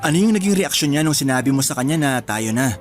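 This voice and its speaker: Sincere young Filipino boyfriend voiced by an actor. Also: male voice, mid-range voice, expressive, fast, close to an everyday voice. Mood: earnest, wistful. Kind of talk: Filipino radio drama acting.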